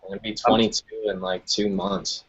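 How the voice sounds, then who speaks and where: A man's voice: fairly low pitch, level tone, normal speed, slightly rough. A man in his early twenties chatting casually about music, laid-back and profane, laughing often. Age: 20s. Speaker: music producer guest. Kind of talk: casual podcast chat. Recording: dull lo-fi stream audio.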